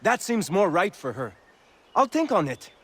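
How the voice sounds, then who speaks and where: An actor voicing a nervous, lovestruck male trapper, fretful and excitable. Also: high-pitched, moderate pace, slight background noise.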